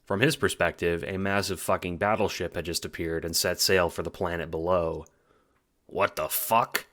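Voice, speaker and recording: A man with a very low voice narrates an audio drama. He reads scripted storytelling prose as the narrator of the tale. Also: little pitch movement, brisk, fairly bright tone.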